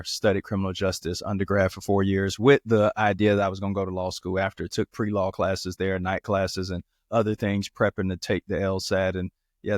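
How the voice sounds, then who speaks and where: Guest preacher, thirties, from Florida: low, even delivery, relaxed and rambling, dry sound. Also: male voice, no echo. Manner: relaxed storytelling, repeats himself. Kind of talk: casual interview over video call.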